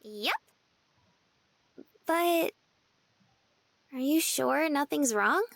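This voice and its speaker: A woman playing a cheerful, wide-eyed, girlish young woman in a visual novel, exclaiming excitably. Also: high-pitched, drawn-out words, vocal fry, no room sound, full character voice. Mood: concerned. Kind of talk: visual novel character acting.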